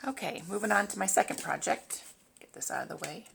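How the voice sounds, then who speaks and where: A woman hosting a casual craft tutorial in a raspy, hoarse voice, talking informally and a little haltingly as she works. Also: mid-range voice, fairly bright tone, slight background noise. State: hoarse, throat-clearing from long talking. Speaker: host of a papercraft tutorial. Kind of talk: casual craft demonstration, talking while working.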